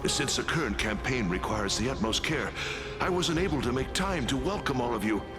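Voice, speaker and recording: A man's voice: mid-range voice, normal speed, big-room sound, music in the background, natural voice. A man playing a courteous, weary and earnest military commander in a video game, formal but hesitant. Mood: courteous, apologetic. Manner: formal, hesitant, sighing, expressive.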